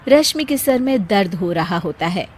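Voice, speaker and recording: A woman's voice: medium pitch, expressive, moderate pace, a little mellow. A woman narrating a scripted cartoon story as a storyteller.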